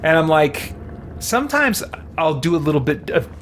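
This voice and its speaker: A man's voice: fairly high pitch, very animated, moderate pace, a bit bright, a little hiss or hum. A man chatting casually as he streams a game, rambling and thinking aloud as he plays. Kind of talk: casual live-stream chat and game commentary. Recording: music playing behind the voice. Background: game music.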